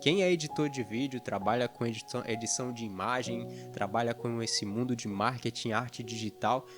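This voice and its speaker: A man from northeastern Brazil, a video editor telling his personal story to his channel's viewers in an earnest, conversational way, pausing often with fillers. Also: medium pitch. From Fortaleza, Ceará, Brazil. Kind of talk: personal testimony to camera. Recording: music playing underneath.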